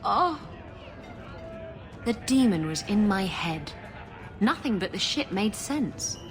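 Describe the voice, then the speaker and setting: A woman's voice: mid-range voice, sing-song, slow, music in the background. An actress playing a brash, flirtatious female pirate captain in a game, cheeky, sardonic and theatrical. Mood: dreamy, flirtatious.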